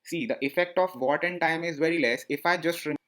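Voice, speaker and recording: Man, slightly high voice, teacher, talks over slides, repeats himself, quizzes the listener. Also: fast talker, a bit bright. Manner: repetitive, asks listeners questions. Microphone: voice-over on slide screencast.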